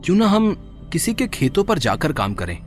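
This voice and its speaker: A man's voice: on the high side, huge pitch swings, normal speed, faint music behind. A man voicing a poor, devoted village son in a moral story cartoon, earnest and pleading. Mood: worried.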